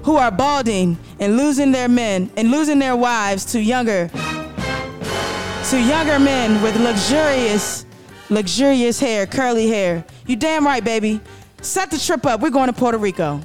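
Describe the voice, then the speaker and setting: A woman voicing a loud, boastful, excitable man who cusses and hypes himself up. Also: very high-pitched, takes it slowly, over music, voice pushed up, exaggerated voice. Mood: excited. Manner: brash, cussing, hyped-up.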